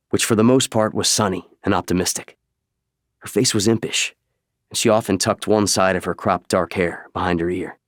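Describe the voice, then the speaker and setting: A man reading scripted audiobook narration in a measured, dramatic way, close to a studio microphone. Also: low-pitched, no room sound.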